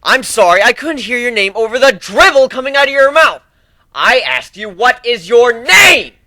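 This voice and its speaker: Man as male griffin pirate captain, jaded, commanding, sardonic, animated pitch, dry sound, fantasy reading. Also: moderate pace, no room sound, full character voice. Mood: barking, sarcastic.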